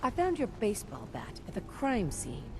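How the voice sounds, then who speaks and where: A woman's voice: mid-range voice, wildly swooping pitch, normal speed, background noise. An actress playing a self-assured, direct female detective in a video game. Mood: probing.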